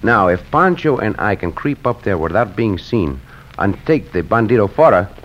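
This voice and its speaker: An actor playing a bold, courteous Mexican cowboy hero with a formal, commanding manner and a Mexican accent, in an old-time radio western. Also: male voice, lowish voice, very animated, moderate pace, fairly bright tone. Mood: resolute. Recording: noisy, muffled old radio drama recording.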